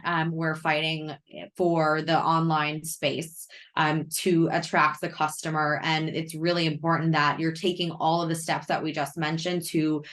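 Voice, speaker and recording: A woman who is losing her voice gives a friendly, explanatory webinar talk about marketing, sounding hoarse and naturally deep. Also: fairly bright tone. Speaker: customer success head presenting a webinar.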